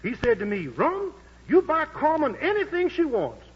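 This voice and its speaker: A wheedling fight manager talks smoothly in a high, husky voice that swoops around. It is 1940s radio acting, heard on an old broadcast that sounds dull. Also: male voice, wildly swooping pitch, moderate pace, full character voice. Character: fast-talking boxing manager Rum.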